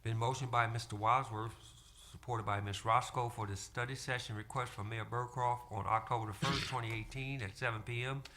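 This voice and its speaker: A man chairing a city council meeting, briskly calling motions and roll-call votes in a council chamber. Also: mid-range voice, fairly even intonation, bright, forceful tone, slight background noise. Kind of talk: formal meeting procedure.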